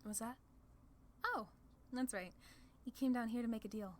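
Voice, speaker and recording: A woman's voice: fairly high pitch, normal speed, close to an everyday voice. A woman voicing a playful, proud demon ruler of hell in a casual, sardonic ASMR roleplay. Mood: pleased, gracious. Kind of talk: ASMR roleplay audio drama.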